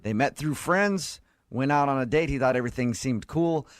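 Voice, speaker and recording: A man hosting a morning radio show with lively, teasing, joking banter. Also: medium pitch, moderate pace, no room sound.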